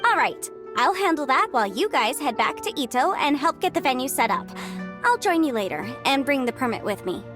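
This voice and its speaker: Upbeat, high-voiced young friend. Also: female voice, big pitch swings, brisk, music in the background. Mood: upbeat, encouraging. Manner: bright, encouraging, carefree. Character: upbeat, breezy, helpful young woman friend. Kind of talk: video game voice acting.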